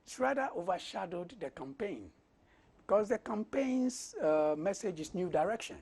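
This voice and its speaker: Husky, higher-pitched Ghanaian man. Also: expressive, moderate pace, a little mellow. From Osu, Accra, Ghana. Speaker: parliamentary candidate being interviewed. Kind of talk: conversational political interview.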